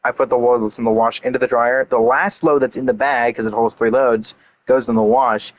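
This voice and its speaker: A man's voice: mid-range voice, steady tone, brisk. A man giving casual gameplay commentary, chatting and thinking aloud in a rambling way. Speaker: game streamer.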